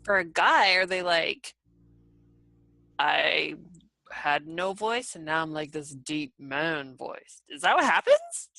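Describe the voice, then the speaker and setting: A young woman hosts a podcast with a bright, forceful voice, chattering animatedly and filling her talk with "like". The sound is dry and close. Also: low-pitched, slow, creaky, dry recording. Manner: animated, lots of "like", swears. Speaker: podcast host. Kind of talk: casual chat.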